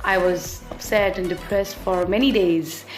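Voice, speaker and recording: A woman's voice: medium pitch, fairly even intonation, measured pace. A native Hindi-speaking woman with an Indian accent, sharing personal experience and advice in an encouraging, conversational way as a video host. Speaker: English communication trainer and video host. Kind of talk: personal story and advice to camera. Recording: roomy echo, music underneath.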